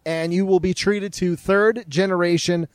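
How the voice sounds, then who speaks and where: A man co-hosting a sports talk show, reading sponsor spots in a brisk, salesy way. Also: high voice, steady tone, clean tone, dry recording, clean studio sound. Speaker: co-host reading sponsor ads. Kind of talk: sports radio banter with live reads.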